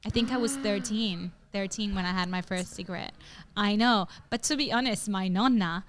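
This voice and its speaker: A young adult woman from northern Italy co-hosting a podcast in casual chat, telling animated stories and leaping into high voices for quotes. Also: moderate pace, background noise. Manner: animated storyteller, jumps into high acted quotes. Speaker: podcast co-host.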